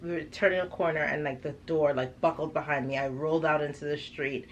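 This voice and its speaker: A woman from Trinidad chatting casually and telling personal stories in an animated, emphatic way. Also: sits low, fairly quick, dull audio, a little hiss or hum. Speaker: vlogger and parent of six. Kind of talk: casual chat, personal storytelling.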